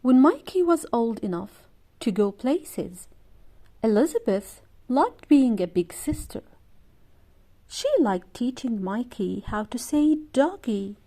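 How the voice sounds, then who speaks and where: Lady doing kids storytime, highish mellow voice, really expressive, takes her time. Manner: animated character voices, deliberate phrasing. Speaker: storyteller reading a children's book aloud. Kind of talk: expressive read-aloud storytelling for young children.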